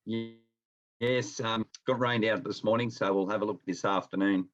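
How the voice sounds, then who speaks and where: Low-voiced Australian man, slightly muffled audio. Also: fairly even intonation, normal speed, soft background music. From Melbourne, Australia. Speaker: interview guest, experienced nurse. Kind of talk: casual anecdotal chat. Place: at home, on a remote call.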